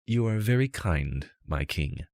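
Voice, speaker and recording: A man's voice: low-pitched, animated, a little slow, a little mellow, dry recording, studio quality. A man playing a modest young warrior in a fantasy storybook, courteous and a little hesitant. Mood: polite, modest. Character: polite, modest young male warrior guest.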